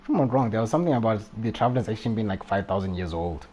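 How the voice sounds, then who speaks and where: A man's voice: lowish voice, expressive, racing, warm tone. A young man commentating casually on a live game stream, slangy, chatty and reactive.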